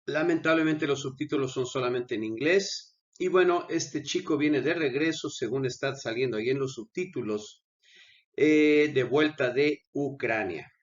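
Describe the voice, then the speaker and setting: A man's voice: medium pitch, moderate pace, muffled recording. A man, feeling unwell with coughing fits, hosting a channel and talking viewers through a video in an earnest, pleading way. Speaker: channel host. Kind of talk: conversational commentary over a video.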